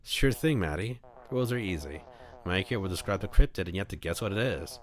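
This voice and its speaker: A man's voice: sits low, animated, fast. Man voicing a chipper, folksy mothman. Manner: upbeat, folksy exclamations. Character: cheery, compliant male mothman cryptid. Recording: music playing behind the voice.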